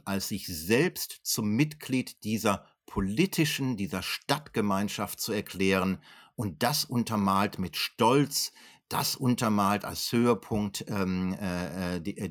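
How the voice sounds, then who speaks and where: Low-voiced man explaining, hesitant but articulate. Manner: articulate, educated phrasing with frequent hesitations and self-corrections. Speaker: historian being interviewed as an expert. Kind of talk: explanatory interview answers, unscripted.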